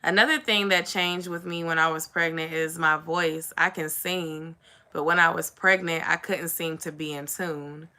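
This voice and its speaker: Raspy, bright-voiced woman chatting. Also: low voice, steady tone, normal speed. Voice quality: somewhat raspy. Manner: chatty, says "y'all" and "like" often. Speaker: vlogger. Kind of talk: casual chat to camera.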